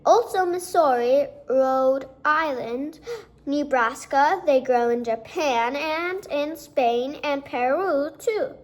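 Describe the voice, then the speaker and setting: Woman as a fussy, high-pitched school principal. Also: slow pace. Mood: pondering. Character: wise, self-important male school principal, earnest and fussy.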